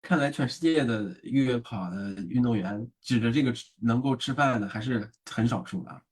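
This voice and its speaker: A man's voice: medium pitch, a little fast, breathy edge. A male podcast host asking long questions, often stammering and repeating words. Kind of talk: casual interview chat.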